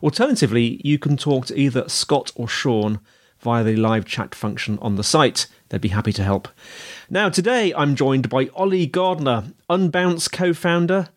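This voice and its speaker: A man hosting a podcast interview, asking friendly, rambling questions with many fillers. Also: mid-range voice. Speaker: podcast host.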